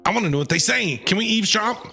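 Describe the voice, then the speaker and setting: A man's voice: high voice, animated, quick pace, vocal fry, sharp tone, soft background music. A man streaming with casual, playful, chatty reactions to a game as he plays.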